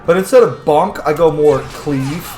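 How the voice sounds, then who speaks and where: A man's voice: a little high, unhurried, darkish tone, roomy sound. A man giving casual, chatty, rambling commentary as a video game streamer. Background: game music.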